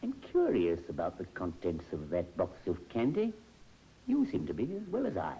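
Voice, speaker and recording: An actor playing a methodical country doctor with a measured, formal, precise manner in an old radio drama. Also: male voice, low voice, huge pitch swings, dark tone, heavily acted. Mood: dry curiosity. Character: methodical country doctor, measured and quietly wry male professional. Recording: dull, noisy old radio recording.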